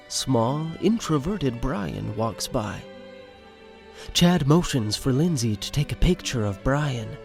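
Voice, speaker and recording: A man's voice: mid-range voice, gravelly, darkish tone. A man reading scripted stage directions as the narrator of an audio drama. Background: music.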